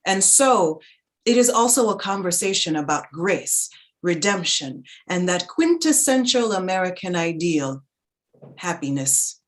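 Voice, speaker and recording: A woman giving a dramatic reading of a literary essay in a measured, reflective, articulate manner. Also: fairly low pitch, big pitch swings. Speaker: reader in a staged reading.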